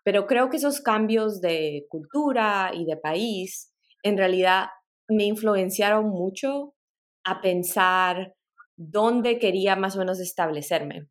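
A woman's voice: mid-range voice, slow. A middle-aged woman from Peru, guest on a podcast interview, telling her life story thoughtfully with small laughs and restarts. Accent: mixed Latin American Spanish. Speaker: guest, tech product director.

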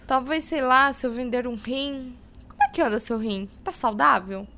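A woman's voice: sits high, normal speed, bright, forceful tone. An actress playing a frazzled, impulsive young woman fretting over money, with a Brazilian accent, in an audio drama. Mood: scheming. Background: music behind the voice.

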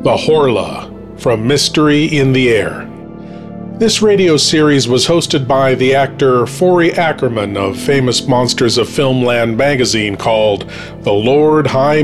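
Male spooky-countdown presenter, mid register, deliberate and dramatic, over a music bed. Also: mid-range voice. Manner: measured, theatrical, dramatic pauses. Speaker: horror host presenting a countdown. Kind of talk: scripted spooky countdown narration.

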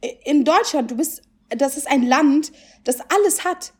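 A woman's voice: high voice, expressive, a little slow. A young woman who grew up in Germany, chatting casually and animatedly, swearing and dropping English phrases into her talk. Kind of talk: casual chat.

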